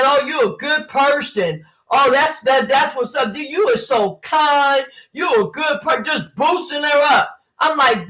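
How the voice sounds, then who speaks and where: A woman's voice: sits high, fairly bright tone, poor audio. A woman, hosting a casual livestream and talking bluntly with mocking impressions. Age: 50s. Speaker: livestream host.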